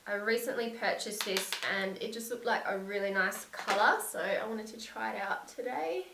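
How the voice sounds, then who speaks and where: A young woman with a slightly croaky voice from a cold, narrating a makeup tutorial and gushing softly about the products. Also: medium pitch, moderate pace, recorded from a distance, noisy background. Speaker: beauty vlogger. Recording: echoey room, set back from mic.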